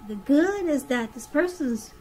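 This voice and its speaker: A woman's voice: high voice, expressive, a little slow, warm tone. A woman giving a conversational tarot reading straight to the listener, repeating phrases for emphasis. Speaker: tarot reader.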